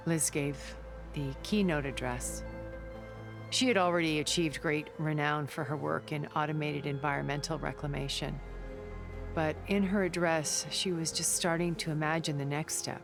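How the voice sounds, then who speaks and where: A cultured older woman from a video game drama, with a creaky edge to the voice. The delivery is measured and formal, calculating but tinged with wistfulness, over background music. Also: low voice.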